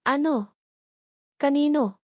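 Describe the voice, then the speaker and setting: A woman's voice: high-pitched, takes it slowly, no room sound, studio quality, pitched above the performer's own voice. A woman with a Filipino accent voicing a worried, loyal young female best friend in an audio story, concerned and informal. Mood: amazed, supportive.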